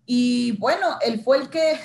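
A woman who works as a TV news reporter tells her story in a conversational interview, acting out the dialogue with lively energy. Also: on the high side, slow pace, vocal fry. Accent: Spanish-speaker's accent in English.

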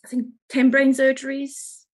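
A woman's voice: high voice, completely flat delivery, normal speed. A woman hosting a podcast, introducing her show and guest with enthusiasm and frequent ums. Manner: warm, enthusiastic, with many ums. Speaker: podcast host.

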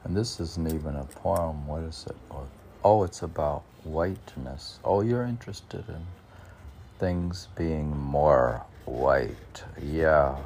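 Very deep, gravelly man, slow and halting. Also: lively intonation, darkish tone, a little hiss or hum. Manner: halting, musing, repeats questions back to himself. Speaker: poet reading and discussing his own work. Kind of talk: rambling monologue of self-posed questions and answers.

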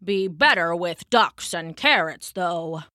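A woman voicing a grumpy but soft-hearted old man with bad teeth in a children's story, grumbling and drawing out the words. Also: lowish voice, lively intonation, dry recording, clean studio sound, voice pushed down, heavily acted. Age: older. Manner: grumbling, slow, drawn-out delivery. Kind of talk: acted children's storybook character.

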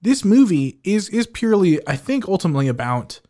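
A man co-hosting a podcast, chatting casually about a movie, animated and often restarting his sentences with a laugh. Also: high voice, a little fast. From Filipino heritage, living in the United States. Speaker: podcast co-host. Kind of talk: casual movie review chat.

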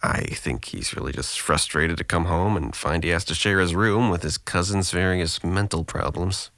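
Audio drama actor for a wry, weary young man in therapy. Deep, creaky voice, dry close sound. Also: normal speed, a bit bright, no room sound. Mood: sardonic, exasperated. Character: depressed young man in therapy, guarded, wry, self-deprecating.

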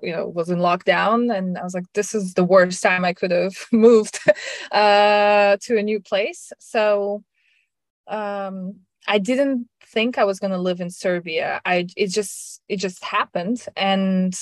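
A woman from Russia in her thirties, talking reflectively in a casual chat, with soft laughs and frequent restarts. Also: mid-range voice, dry recording. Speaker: guest sharing her story.

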